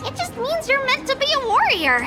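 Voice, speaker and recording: A woman's voice: very high-pitched, sing-song, brisk. A woman voicing a tiny, cheerful childlike girl priest in a video game, bubbly, exclamatory, helpful and proud. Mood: delighted. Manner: bubbly, exclamatory, speaks of herself as "we". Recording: music behind the voice.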